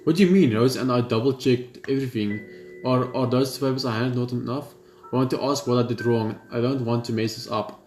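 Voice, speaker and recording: A man's voice: medium pitch, fairly quick, darkish tone. A man reading story text aloud for a gaming video, sometimes stumbling over the words. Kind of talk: let's play read-aloud. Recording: roomy echo with music behind.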